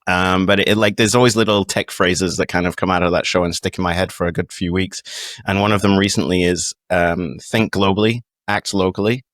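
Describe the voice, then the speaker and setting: A very low-pitched British man explains his views as a guest on a podcast. His delivery is casual and reflective, wandering through long explanations, and the sound is close and dry. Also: steady tone, brisk, a bit bright, dry recording. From United Kingdom. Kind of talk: casual interview talk, explanatory.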